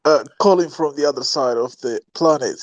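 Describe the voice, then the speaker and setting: Guy calling in, foreign-sounding accent, halting and full of uhs, dry sound. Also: a little high, dry recording, lo-fi sound. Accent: put-on East African. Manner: hesitant, halting speech with many fillers. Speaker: caller. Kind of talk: phone-in call.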